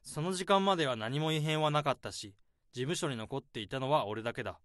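A man's voice: medium pitch, fairly quick, fairly bright tone, no echo, studio quality, lightly acted. A man playing a tense, driven office drone. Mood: grim brooding. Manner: tense inner monologue, rising to frantic self-pep talk. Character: harried office-worker man hiding his boss's body. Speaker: narrator and protagonist. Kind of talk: first-person radio drama monologue.

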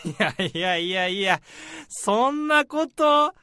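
An actor playing a cocky, slacking senior AI researcher with a brash casual manner in a radio drama. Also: male voice, sits high, animated, slow pace, very noisy. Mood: playful, smug. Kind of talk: radio drama acting with first-person narration.

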